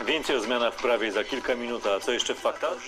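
A man's voice: medium pitch, very fast. A man presenting a television news bulletin in a formal announcing style. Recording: broadcast with loud music bed. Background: loud news music.

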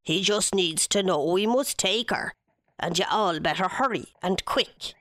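A woman's voice: medium pitch, a little slow, a bit bright, no echo, natural voice. A woman voicing a bossy fairy leader who gives clipped orders in a storybook tale.

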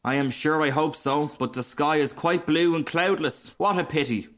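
A man's voice: a little high, normal speed, fairly bright tone, voice pushed up, close to an everyday voice. A man voicing a small, cheerful male frog in a storybook, garrulous, genteel and very pleased with himself. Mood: cheerful, boastful. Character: cheerful, chatty, self-satisfied little male frog. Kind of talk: storybook character reading.

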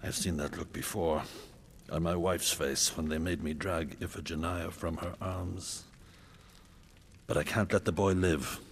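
A man's voice: sits low, fairly even intonation, a little slow, gravelly, husky, exaggerated voice. An actor playing a brutal, arrogant middle-aged warrior king, narrating his coarse, boastful thoughts in the first person for a radio drama. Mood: callous. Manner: coarse, boastful, cold inner monologue.

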